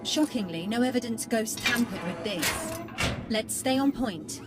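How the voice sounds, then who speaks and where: A polite ai helper lady, formal, calls him sir, clear game music under her. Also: fairly high pitch, lively intonation, measured pace. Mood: dry. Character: calm polite female AI assistant. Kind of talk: video game dialogue.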